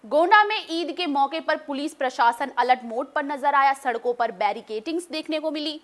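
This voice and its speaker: A woman with a fairly high voice works as a news anchor. She reads scripted reports in a formal bulletin style, as narration for a news broadcast. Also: lively intonation, moderate pace, a bit bright.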